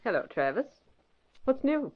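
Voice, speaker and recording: A woman's voice: fairly high pitch, sing-song, unhurried. An actress playing a cool, wry, self-possessed newspaper office woman in an old-time radio drama. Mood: cool, curious. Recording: muffled, noisy old radio recording.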